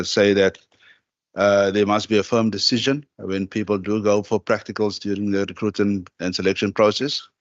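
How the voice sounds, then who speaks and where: A man answering questions formally at a committee hearing, measured and hesitant with many fillers. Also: fairly low pitch, no room sound, dull audio. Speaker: department head answering a committee.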